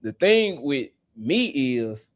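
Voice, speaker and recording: Animated man on a live stream. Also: medium pitch, slow, no room sound, studio quality. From South Memphis, USA. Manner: animated and outspoken. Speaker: guest caller on a live panel. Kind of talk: casual live-stream debate.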